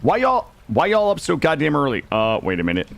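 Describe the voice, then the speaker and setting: A man livestreaming a game, chatting casually and playfully with some mild swearing, his voice slightly gravelly and not yet warmed up. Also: fairly high pitch, huge pitch swings, fairly quick. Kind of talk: casual gaming livestream commentary and chat.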